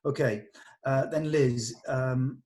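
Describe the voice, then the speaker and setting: A man's voice: mid-range voice, steady tone, slow, darkish tone. A man talking casually through a script with actors, explaining and giving directions as he leads the discussion. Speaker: director leading a script table read.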